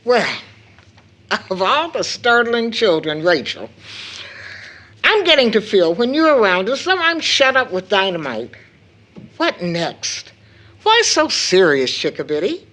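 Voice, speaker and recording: A woman's voice: medium pitch, big pitch swings, a little slow, long pauses, fairly bright tone. An actress playing a loving, careworn mother in a stage-play audio drama, with a tender, theatrical delivery. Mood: startled, teasing.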